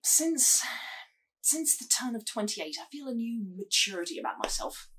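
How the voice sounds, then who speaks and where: A woman playing a self-satisfied male spaceship crewman, rambling in mock earnest through a deadpan sitcom log. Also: fairly high pitch, normal speed, slightly rough, heavily acted, poor audio. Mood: smug sincerity. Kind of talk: sitcom monologue acting.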